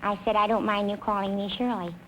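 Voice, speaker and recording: Soft-spoken hesitant woman in her twenties. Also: mid-range voice, little pitch movement, fast talker, low-quality recording. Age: 20s. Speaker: quiz show contestant. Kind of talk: answering questions on a quiz show. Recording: hissy old broadcast recording.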